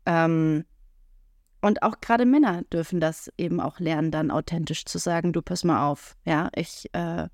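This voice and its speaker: A woman's voice: sits low, moderate pace, no room sound, clean studio sound. A woman speaking frankly and chattily on a casual podcast, with many fillers. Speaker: podcast co-host.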